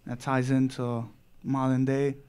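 A man shares a reflective thought in a discussion. His voice is mellow and smooth, with very even pitch. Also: unhurried. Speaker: discussion participant.

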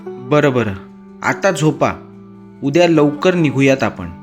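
Cartoon devotional tale: caring father of young children, mid-pitched, steady and reassuring male actor. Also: slow, soft background music. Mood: firm, caring. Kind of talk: animated moral story dialogue.

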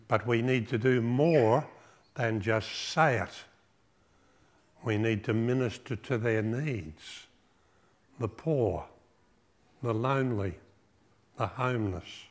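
An older man delivers a sermon in a small church hall. He talks unhurriedly, pausing between phrases, asking the congregation rhetorical questions. His voice sits at an ordinary middle pitch. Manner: slow, measured preaching with rhetorical questions and lists. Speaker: pastor. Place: small church hall with drum kit, indoors.

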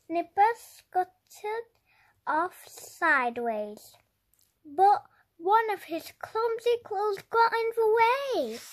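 A young-sounding reader telling a children's story aloud with deliberate, dramatic emphasis. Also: extremely high pitch, a bit bright. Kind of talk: expressive read-aloud narration for young children.

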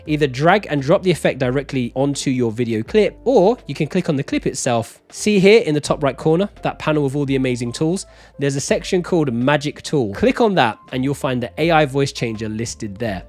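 A man in his thirties hosting a scripted tutorial in an emphatic, animated presenter style, close to a desk microphone. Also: medium pitch, fairly quick. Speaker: host of a software tutorial channel. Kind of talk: scripted upbeat video tutorial narration. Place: small home-style studio, indoors. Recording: background music. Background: music.

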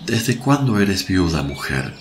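A solemn male holy teacher played by an actor in a radio play. His low voice moves slowly with compassionate authority, over faint birdsong. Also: big pitch swings, a little hiss or hum, full character voice. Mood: solemn, gentle. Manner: solemn, measured. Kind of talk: Spanish-language radio play acting.

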